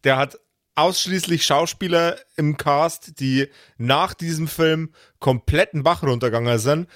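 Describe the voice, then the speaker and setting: A man in a casual podcast chat, talking hesitantly with a wry touch and drawn-out pauses. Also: a little high, no echo. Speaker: podcast regular.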